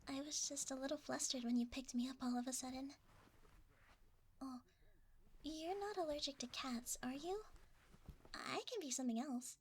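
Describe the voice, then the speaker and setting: A woman's voice: high voice, normal speed, long pauses, natural voice. A woman voicing a shy, cuddly shapeshifting creature in an ASMR roleplay, hesitant and easily flustered, often half-whispering. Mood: flustered, gentle.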